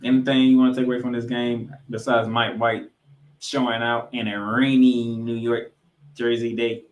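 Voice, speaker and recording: A man's voice: mid-range voice, little pitch movement, normal speed, a little hiss or hum. A man co-hosting a sports podcast, giving football recaps with emphatic, announcer-like delivery of scores and stats mixed with casual banter.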